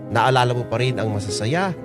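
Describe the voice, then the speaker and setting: A man with a Filipino accent reads as an actor, and tells a personal story in measured, wistful drama narration. Music plays clearly behind the reflective voice. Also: mid-range voice, expressive, close to an everyday voice. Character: reflective small business owner telling a life story.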